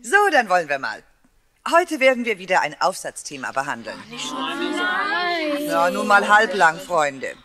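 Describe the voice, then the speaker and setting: An actress playing a good-humoured, unflappable schoolteacher in a children's radio play, with a wry, indulgent manner. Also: female voice, fairly low pitch, big pitch swings, a little slow, long pauses, crowd noise. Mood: brisk, commanding.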